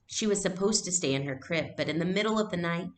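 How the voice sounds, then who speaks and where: A woman voicing a thoughtful young elder sister from a storybook, earnest and lively, sometimes sarcastic. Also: lowish voice, fast, roomy sound, pitched above the performer's own voice, close to an everyday voice. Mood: fond.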